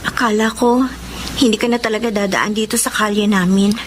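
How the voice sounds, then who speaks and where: A woman's voice: medium pitch, fairly even intonation, a little fast, natural voice. An actress playing a proud but remorseful young woman in a Filipino radio drama. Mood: earnest, pleading. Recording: noisy radio drama recording.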